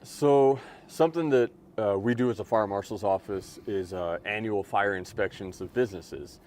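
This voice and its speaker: A man with a fairly low voice explains procedures as an interview guest. His delivery is measured and plain-spoken, with noticeable noise behind him. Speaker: fire marshal's office lieutenant, interview guest. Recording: noticeable steady background noise.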